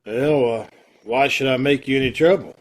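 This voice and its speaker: A man's voice: mid-range voice, normal speed, natural voice. A man acting a stubborn, unrepentant condemned murderer in an old-time radio play, plain-spoken and stoic. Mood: weary, polite. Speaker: amateur radio-troupe actor.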